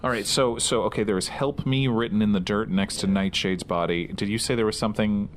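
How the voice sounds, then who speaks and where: A man's voice: lowish voice, fairly even intonation, brisk, a little hiss or hum. A man playing a tabletop game, chatting casually and asking questions as one of the players.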